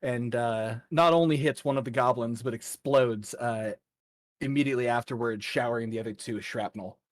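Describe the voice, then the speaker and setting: A man acting as game master, narrating a tabletop fantasy game off the cuff in a measured, halting way. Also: medium pitch, no room sound, clean studio sound.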